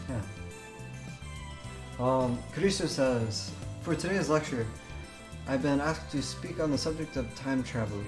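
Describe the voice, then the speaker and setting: A man's voice: medium pitch, slow pace, pauses often, over music. A man live streaming in a casual way, chatting and reading a game aloud with a hesitant, stammering delivery that often repeats words.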